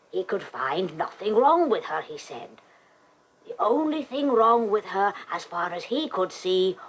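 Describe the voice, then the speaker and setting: An actress playing a mournful, brooding old Irish wife in a radio play, with halting, drawn-out phrases and long pauses. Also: female voice, lowish voice, husky. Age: older. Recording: noisy old cassette of radio broadcast.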